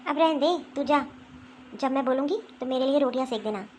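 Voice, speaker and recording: A scheming, domineering older mother-in-law played by a woman in a homemade family drama. Her voice sits high, and her tone is commanding and grumbling as she barks orders and complains. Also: fairly quick, darkish tone, a little hiss or hum, natural voice. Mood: scolding. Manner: scolding, commanding, grumbling to herself. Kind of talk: family skit acting.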